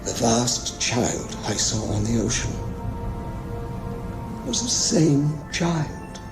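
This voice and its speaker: An actor playing a traumatised pilot giving halting, haunted testimony in a radio play. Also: male voice, medium pitch, very animated, over music. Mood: haunted, disbelieving. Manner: halting, haunted, broken phrasing. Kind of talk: radio play dramatic monologue.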